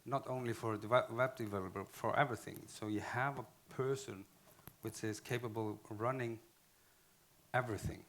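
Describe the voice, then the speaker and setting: A man's voice: medium pitch, fairly even intonation, fairly bright tone, a little hiss or hum. A man with an Icelandic accent giving a conference talk in English, often restarting and correcting himself. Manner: halting, restarts phrases, self-corrects. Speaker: conference speaker.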